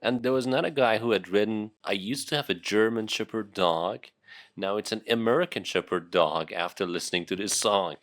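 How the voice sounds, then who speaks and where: Iranian male host, Persian native, low voice, dry studio re-record. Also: a little fast, dry recording. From Iran. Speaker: English teacher and host. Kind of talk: casual interview.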